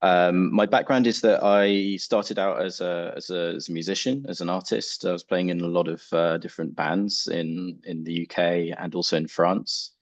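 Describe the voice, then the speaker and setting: A man from England with an English accent introducing himself on a panel, polite and hesitant with many pauses. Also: deep voice, steady tone, no echo, lo-fi sound. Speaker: panelist, music export professional. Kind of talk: conference panel talk.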